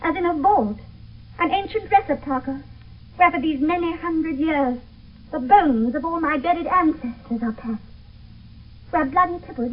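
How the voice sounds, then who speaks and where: A woman's voice: sits high, lightly acted. An actress playing a frightened young noblewoman in an intense, theatrical 1970s radio Shakespeare style. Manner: intense, theatrical verse delivery. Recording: thin phone-like old radio, distant mic.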